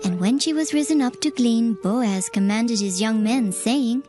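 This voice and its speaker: A female narrator reads dramatized scripture in archaic English. Her voice sits a little high, and music is clearly heard underneath. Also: a little slow, mellow.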